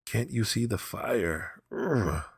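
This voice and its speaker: A man doing a pleading, higher-pitched wife. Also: very animated, takes it slowly, creaky, husky, no room sound, studio quality, full character voice. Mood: anguished. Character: distressed, pleading, loving young wife.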